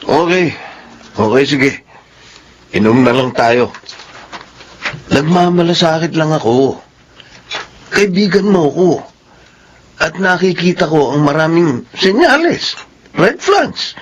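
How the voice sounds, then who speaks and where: A man's voice: fairly high pitch, expressive, takes it slowly, pauses often, slight background noise. A man with a Filipino accent acting a worried, insistent young male friend in a radio drama, speaking earnestly. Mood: concerned.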